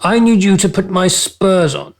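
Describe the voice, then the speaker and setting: Guy doing a bossy airy king, really high voice for a man, comic radio play. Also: moderate pace, creaky. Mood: breezily imperious. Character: self-centred, commanding king, airy and imperious.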